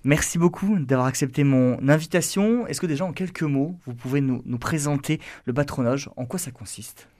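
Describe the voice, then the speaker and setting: A man's voice: on the high side, moderate pace, hardly any pauses, darkish tone. A man hosting a radio interview, putting questions to his guest politely and formally.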